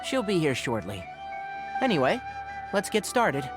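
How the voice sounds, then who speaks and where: An earnest young male tutor in a video game scene. He speaks politely and a little anxiously in a fairly high, very expressive voice. Game music plays faintly behind him. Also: measured pace. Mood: friendly. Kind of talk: video game dialogue acting.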